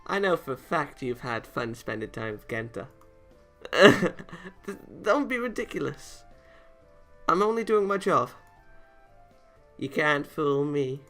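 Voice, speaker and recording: A man voicing a teasing, determined young angel girl from a visual novel, brisk and matter-of-fact. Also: on the high side, animated, sharp tone, heavily acted. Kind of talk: visual novel character read-aloud. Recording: music behind the voice.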